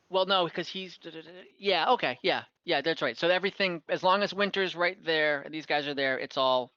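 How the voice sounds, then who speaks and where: A man with a fairly high, very bright voice referees a tabletop game as game master. He talks casually and hesitantly, working through rulings aloud. The sound is close and dry, slightly dulled like online voice chat. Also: clean tone, no echo, lo-fi sound.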